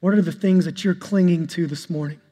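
High-voiced male pastor preaching. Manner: calls himself monotonous and boring-voiced; preaching, shifts to a soft, slow prayer. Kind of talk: sermon. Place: church sanctuary. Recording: long hall reverb with soft instrumental music.

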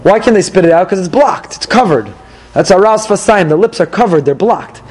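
A rabbi leading a Torah class, animated and questioning his listeners as he goes. His voice sits high for a man, and he repeats key phrases to drive them home. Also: brisk, breathy edge.

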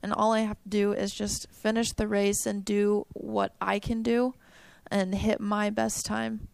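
A young woman answers questions about her running with a gravelly, creaky voice. Her pitch stays flat and even while she chats on easily. The room sounds dry and close. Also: a little slow, dry recording. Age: young adult. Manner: chatty, upbeat, frequent "um" and "like". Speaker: college cross country runner. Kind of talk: interview answers.

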